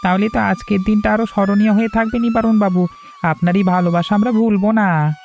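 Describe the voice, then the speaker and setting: A man's voice: high-pitched, fairly even intonation, a little fast, creaky, a little mellow, faint music behind. An actor voicing a polite, grateful village family man in a cartoon. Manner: polite, respectful. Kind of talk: cartoon voice acting.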